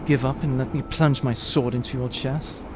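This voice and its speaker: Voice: medium pitch, fairly even intonation, moderate pace, very noisy, close to an everyday voice. An actor playing an earnest, guilt-ridden adventurer in an audio drama, speaking solemnly. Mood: determined.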